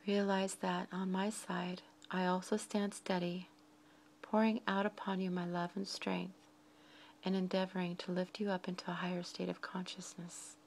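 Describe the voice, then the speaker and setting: A woman leads a guided meditation in a soft, breathy voice, speaking slowly and deliberately in short phrases with frequent pauses. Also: lowish voice, little pitch movement, a little hiss or hum.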